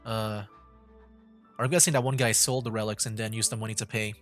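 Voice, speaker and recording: A man's voice: on the low side, fairly even intonation, brisk, a bit bright, faint music behind. Rambling young male game streamer. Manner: rambling, heavy use of "like", restarts, laughs. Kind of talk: casual gameplay commentary.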